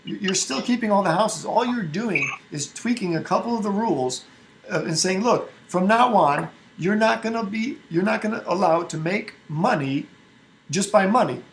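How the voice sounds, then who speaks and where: A man's voice: high-pitched, lively intonation, moderate pace, a little hiss or hum, low-quality recording. A man from Albania speaking emphatically in a casual group discussion, arguing his point with frequent restarts.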